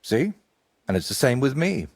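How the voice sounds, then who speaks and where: A man playing an evasive, eccentric wandering painter in a medieval video game, with a husky, rough-edged voice and a theatrical, riddling way of talking. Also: medium pitch, very animated, moderate pace, full character voice. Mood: playful, cryptic. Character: evasive eccentric male wandering painter, wry and world-weary.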